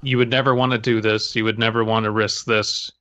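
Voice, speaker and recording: A man's voice: lowish voice, little pitch movement, brisk, sharp tone, no room sound. Dry-miked man, sardonic podcast co-host. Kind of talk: casual banter and reading aloud.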